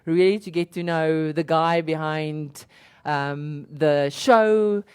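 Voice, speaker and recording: A woman's voice: low-pitched, steady tone, a little mellow, clean tone. Giggly South African woman hosting an interview. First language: Afrikaans. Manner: giggly, halting, lots of ums and restarts. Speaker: company interviewer and host. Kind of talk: casual corporate interview.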